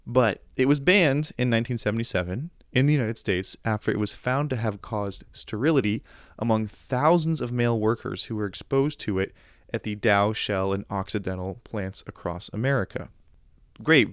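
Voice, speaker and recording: A man's voice: lowish voice, moderate pace, smooth, no room sound, studio quality. A man hosting a podcast, giving casual, sarcastic commentary with joking asides. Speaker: podcast host.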